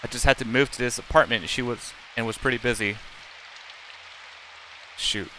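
A man's voice: medium pitch, steady tone, moderate pace, background noise. A middle-aged man live streaming, reading game text aloud in a plain, unacted way with casual asides and occasional stumbles.

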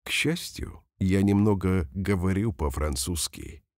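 Deep gravelly man reading phrases slowly. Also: dry recording, clean studio sound. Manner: careful, clearly separated delivery, each sentence said twice. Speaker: language teacher reading example sentences. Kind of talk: slow repeated phrase reading for learners.

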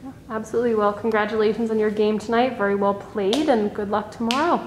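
A woman's voice: fairly high pitch, fairly even intonation, fast talker. A woman interviewer asking polite, scripted postgame questions. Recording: echoey room, faint hiss.

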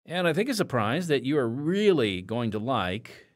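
A man's voice: mid-range voice, sing-song, moderate pace. An American man hosting an audio drama podcast, with scripted, folksy, theatrical narration aimed straight at listeners. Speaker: podcast host.

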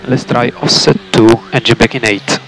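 A man works as a race engineer, talking over a motorsport team radio with clear car noise around the voice. His mid-pitched delivery is terse and clipped, with short instructions that he often repeats. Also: steady tone, normal speed, a bit bright, low-quality recording.

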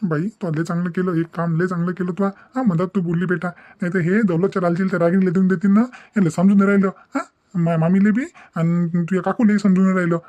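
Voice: mid-range voice, little pitch movement, quick pace, warm tone, dry recording, lo-fi sound, lightly acted. An actor playing a sensible, kindly older village uncle in a cartoon, explaining things in Varhadi Marathi. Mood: firm. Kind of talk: cartoon family drama dialogue.

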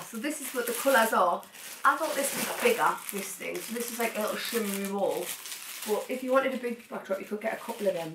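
A young woman chats excitedly to camera, hopping from one thing to the next with plenty of asides. She is recorded from across the room, so her voice sounds distant and echoes off the walls, with a faint hiss underneath. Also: medium pitch. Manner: chatty, excitable, rambling asides. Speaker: vlogger. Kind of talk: casual haul chat to camera. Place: cluttered living room, sitting on the floor. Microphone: far camera.

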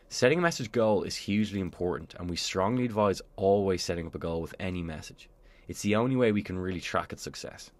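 A man presenting a software tutorial in scripted instructional narration, explaining clearly step by step. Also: deep voice.

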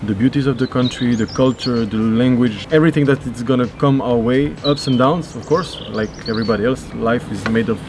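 Man, 30s, non-native English, mid-pitched, casual hesitant vlogger, outdoors with clear urban background noise. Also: a little fast, hardly any pauses, a little mellow. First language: not English. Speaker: vlogger and handball player. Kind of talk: casual vlog chat. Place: outdoor rooftop terrace. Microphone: on-camera shotgun mic at short distance.

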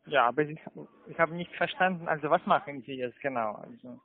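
A man working as a call-centre agent, a non-native speaker talking haltingly on the phone. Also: a little high. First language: not German. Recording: tinny phone line.

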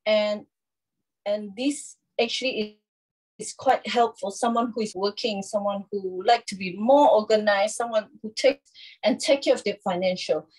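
A woman in her thirties presenting a live cooking demonstration from a home kitchen, in an instructional, halting way with frequent check-ins. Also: medium pitch, a little mellow, rough recording. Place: small home kitchen, indoors.

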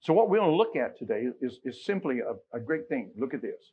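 A middle-aged man with an American accent preaching a sermon in a folksy, conversational way, leaning on emphatic rhetorical questions, with a husky voice. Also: medium pitch, big pitch swings, fairly quick, narrow, tinny audio. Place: church sanctuary, at a pulpit.

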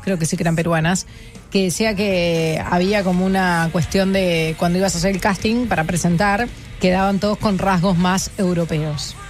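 A woman's voice: lowish voice, steady tone, moderate pace, faint music behind. A woman in her thirties with a Uruguayan accent, chatting casually as a radio show panelist. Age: 30s. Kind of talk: casual chat.

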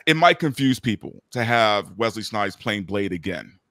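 A man's voice: on the low side, lively intonation, moderate pace, fairly bright tone, dry recording, clean studio sound. A man speaking casually as a panelist in a film debate.

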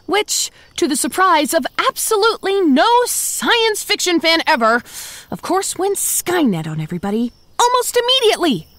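A woman's voice: very high voice, sing-song, moderate pace, a bit bright, no room sound, heavily acted. An actress playing a chatty, quirky zombie woman in her thirties, narrating a comedic audio drama with wry, playful asides. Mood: sardonic, rambling. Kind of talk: comedic audio drama monologue, breaking the fourth wall.

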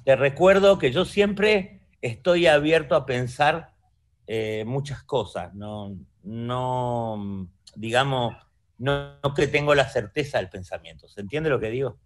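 A man's voice: mid-range voice, takes it slowly. Reflective Argentine man on a video call. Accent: Argentine Spanish. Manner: reflective, rhetorical, checks in with listener. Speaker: artist guest. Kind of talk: conversational interview. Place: room at home. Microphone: video call webcam.

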